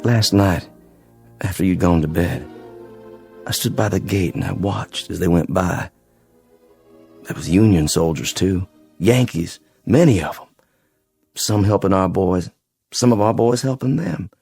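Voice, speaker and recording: An actor playing a weary wounded sergeant in a radio drama, courteous and plain-spoken but resolute. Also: male voice, low-pitched, vocal fry, darkish tone, husky, faint music behind, exaggerated voice. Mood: resolute, haunted. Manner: plain-spoken, polite, halting.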